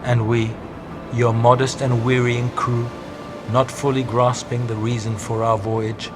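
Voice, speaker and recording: A man giving a poetic, scripted narration in a measured, reflective way. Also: mid-range voice, flat delivery, music in the background. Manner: slow, measured, reflective delivery.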